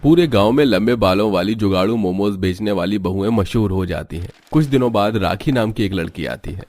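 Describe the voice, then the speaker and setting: A man reading scripted narration for an animated family story. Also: lowish voice, fairly quick, no echo, faint music behind.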